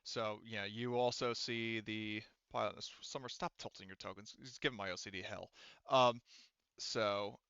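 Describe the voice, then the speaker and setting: A man's voice: lowish voice, steady tone, measured pace, pushed and bright, clear, resonant tone, no room sound, highs cut off. A man acting as game master, narrating a tabletop roleplaying game in an informal, casual way. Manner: informal, occasional swearing.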